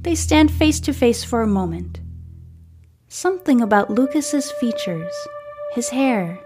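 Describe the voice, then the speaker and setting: A woman host reading a story in a measured, scripted audiobook style. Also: a little high, lively intonation. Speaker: podcast host and book narrator. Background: music.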